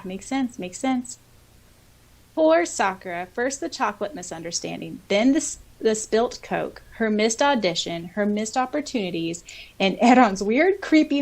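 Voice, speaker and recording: A woman co-hosting a podcast, reading comments aloud in a casual, expressive, giggly way. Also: mid-range voice. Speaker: podcast co-host.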